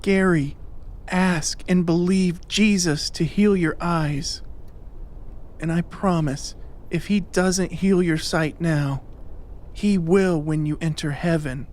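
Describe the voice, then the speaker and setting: An actor playing a gentle, devout man of faith in an audiobook drama, solemn, calm and assured. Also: medium pitch, takes it slowly, lightly acted. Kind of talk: full-cast audiobook drama acting.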